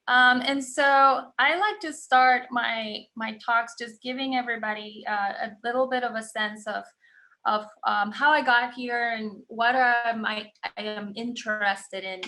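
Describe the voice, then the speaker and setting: A woman from Puerto Rico gives a science seminar in a bright, sharp voice that sits a little high. She talks conversationally, with frequent fillers and self-corrections. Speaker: guest lecturer. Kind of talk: academic seminar talk.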